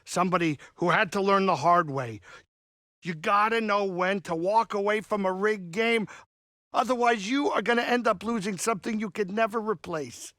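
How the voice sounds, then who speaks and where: A man voicing a gruff but caring small alien saloon keeper in a video game, with a blustery, folksy, wisecracking delivery. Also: high-pitched, normal speed, bright, forceful tone, dry recording, clean studio sound. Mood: earnest, concerned. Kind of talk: video game character dialogue.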